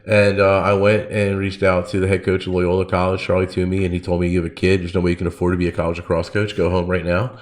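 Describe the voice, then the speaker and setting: A man's voice: low-pitched, monotone, quick pace, hardly any pauses. A man hosting a podcast, telling stories in a casual, slangy way peppered with "like". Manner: informal, slangy, frequent 'like'. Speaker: podcast host. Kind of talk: casual chat, personal storytelling.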